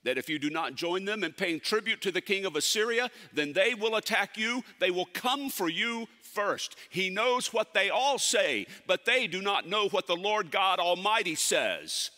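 A man performing a theatrical monologue as a solemn, commanding ancient prophet, speaking deliberately with dramatic pauses. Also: high voice, lively intonation, pushed and bright. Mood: fervent, challenging. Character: prophet Isaiah, solemn, commanding, counseling a fearful king. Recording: echoey hall with long reverb.

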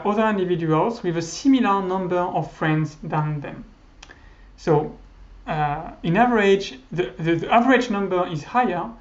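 A man in his thirties whose first language is French, giving a recorded university lecture over slides, hesitant, with many fillers and restarts. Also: high voice. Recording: slightly dull lo-fi audio.

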